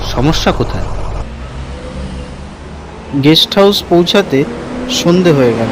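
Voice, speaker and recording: A man's voice: a little high, noisy background. A man narrating a scripted first-person story for a cartoon as its storyteller.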